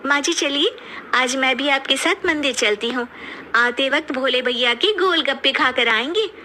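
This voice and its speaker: A woman's voice: sits high, moderate pace, bright, forceful tone, slight background noise, poor audio. A woman voicing a young Indian daughter-in-law, touchy but affectionate, in a moral cartoon story. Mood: cheerful.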